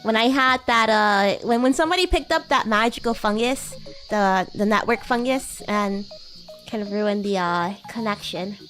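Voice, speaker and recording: A woman's voice: medium pitch, normal speed, a bit bright, faint music behind, lightly acted, rough recording. A woman voicing a bratty, playful young demon schoolgirl in a girlish voice, chatting in character on a roleplay livestream. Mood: chatty. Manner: playful, giggly, teasing. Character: bratty young demon schoolgirl class president with baby wings. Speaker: VTuber streamer.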